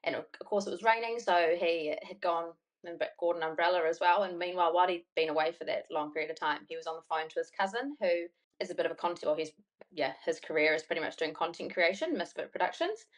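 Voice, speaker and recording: A woman's voice: fairly low pitch, fast talker, poor audio. Chatty New Zealand young woman. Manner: chatty, rambling, many fillers and "like". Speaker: podcast guest. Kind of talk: casual storytelling interview.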